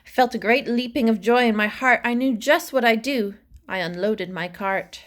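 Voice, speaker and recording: A woman reading a rhyming children's story aloud with expressive, dramatic phrasing. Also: fairly high pitch, normal speed. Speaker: storybook reader.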